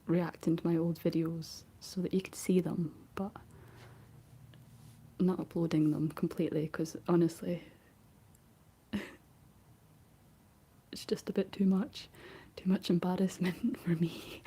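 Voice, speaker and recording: A Scottish woman in a breathy, husky whisper, chatting and rambling through an ASMR video. Her voice sits low and mellow, with a flat, even melody and soft giggles along the way. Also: measured pace, long pauses. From Scotland. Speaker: ASMR creator.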